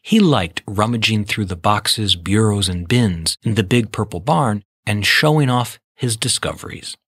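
A man reading scripted children's storybook narration in a measured, expressive way. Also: lowish voice, husky, dry recording, clean studio sound.

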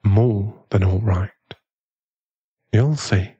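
A man with a British accent playing a tender, protective aristocratic werewolf fiancé in a soothing ASMR boyfriend roleplay, his voice husky and genteel. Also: on the low side, very slow, mellow, muffled recording, heavily acted.

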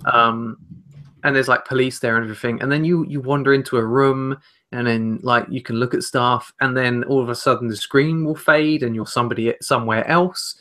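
A young man co-hosting a podcast, talking informally and haltingly about video games. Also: medium pitch, steady tone, bright, forceful tone. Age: young adult. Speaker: podcast co-host. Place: small room at home.

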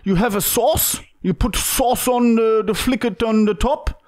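A man voicing a shifty, suspicious foreign man who speaks halting, demanding broken English in a comedy prank call. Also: extremely high pitch, a little mellow. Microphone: close boom-arm podcast microphone.

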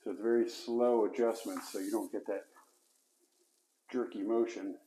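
A man giving casual how-to instructions while he works, talking himself through each step and dropping to quiet mutters. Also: on the low side, steady tone, normal speed, a little hiss or hum, poor audio. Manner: talks to himself mid-task, drops to mutters. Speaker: flooring installer demonstrating. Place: basement, indoors.

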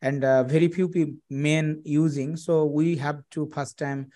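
Bangladeshi man pitching over a headset. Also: steady tone, mellow. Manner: halting, many fillers and restarts. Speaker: freelance digital marketer pitching to a client. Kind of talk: video call sales pitch. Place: small room indoors. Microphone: headset on a video call.

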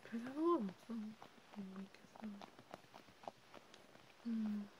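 A woman whispers casual ASMR chat, her voice mostly breath with little tone. She murmurs in short, halting phrases with pauses between them. Noticeable background noise runs under the recording. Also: fairly high pitch, lively intonation. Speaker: ASMR creator.

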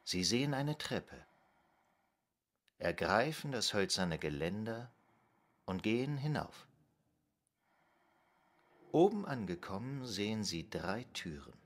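Very slow, hypnotic psychoanalyst. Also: male voice, lowish voice, sing-song. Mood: calm, hypnotic. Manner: measured, soothing, commanding. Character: calm male psychoanalyst guiding a patient into trance. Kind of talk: slow hypnotic guided induction in an audio drama.

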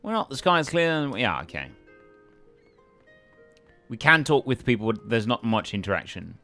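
A man's voice: mid-range voice, very animated, normal speed, pushed and bright, faint music behind. A man hosting a let's play with casual, hesitant commentary, thinking aloud as he goes.

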